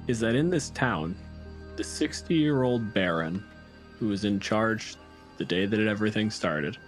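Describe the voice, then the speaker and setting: A man narrates a tabletop fantasy tale in a lowish voice. He phrases deliberately, stretching words and leaving weighty pauses, with music clearly playing behind him. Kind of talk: dramatic storytelling in a tabletop game.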